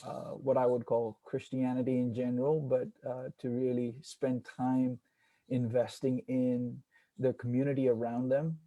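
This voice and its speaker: Man from India, pastor, earnest, reflective, flat delivery, warm dark tone, dry sound. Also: mid-range voice, measured pace, dry recording, muffled recording. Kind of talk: panel introduction on a video call. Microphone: video call.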